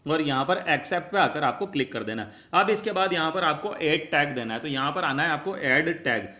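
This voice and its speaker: A man with a forceful, bright voice pitched a little high. He presents a step-by-step tutorial, chatty and repetitive as he explains each action. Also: lively intonation, fairly quick. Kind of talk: step-by-step spoken app tutorial.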